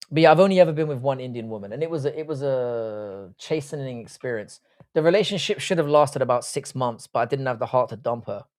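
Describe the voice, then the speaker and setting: A man in his early forties with a British accent, hosting a casual livestream chat in a blunt, crude, slangy, jokey way. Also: mid-range voice. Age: early 40s. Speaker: livestream host. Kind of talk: casual chat, reading and answering live comments.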